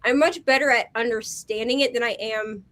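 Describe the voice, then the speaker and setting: A woman co-hosting a casual chat over a video call, an animated storyteller who fills her talk with "like.". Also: on the high side, brisk. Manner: animated storyteller, heavy on "like" fillers. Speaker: co-host.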